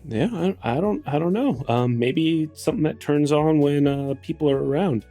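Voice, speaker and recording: A woman's voice: sits low, normal speed, warm tone, soft background music. A woman improvising casually in character as a tabletop game player, talking in a hesitant, meandering way.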